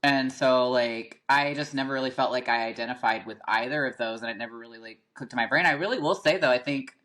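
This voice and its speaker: A man's voice: medium pitch, quick pace, pushed and bright. A man, a guest telling his story casually, full of "like" and "um". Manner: chatty, filler-heavy, frequent "like" and "um". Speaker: podcast guest, rapper.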